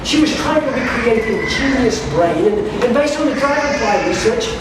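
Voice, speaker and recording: A storyteller reads a steampunk fairy tale aloud to a live gathering, occasionally tripping on a word and repeating it. The voice sits at some distance from the mic in a heavily echoing space, with clear noise behind it. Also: mid-range voice, animated, normal speed. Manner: narrative reading with stumbles and repeated words. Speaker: author reading at a live storytelling event. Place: live event space at a carnival. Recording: very echoey, noisy room, distant mic.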